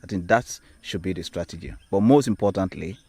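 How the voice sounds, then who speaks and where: A man with a Sierra Leonean accent explains things in an unscripted, instructional way, deliberately and with emphatic repetition. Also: low voice, mellow, slightly rough, no echo. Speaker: agricultural trainer. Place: outdoors on a farm plantation.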